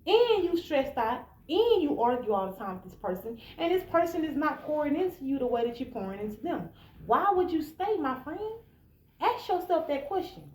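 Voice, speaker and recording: Echoey, higher-voiced American woman talking candidly. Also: darkish tone. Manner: blunt, informal, emphatic, addressing listener directly. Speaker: vlogger giving relationship advice. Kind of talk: candid casual advice monologue. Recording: echoey room, faint background noise.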